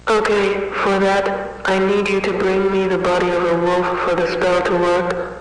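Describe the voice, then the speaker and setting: A woman playing a cold witch in an analog horror fairytale, speaking in a measured, emotionless, ominous way. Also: mid-range voice, monotone, natural voice. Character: cold, bargaining witch telling a dark fairytale. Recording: very echoey, distant, faintly noisy reverb.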